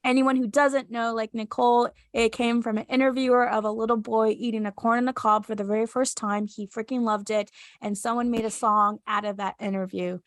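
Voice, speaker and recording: A woman's voice: fairly high pitch, little pitch movement, fairly quick, few pauses, no room sound. Chatty young woman with a stuffy cold. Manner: giggly, chatty. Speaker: podcast co-host. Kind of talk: casual fan podcast chat.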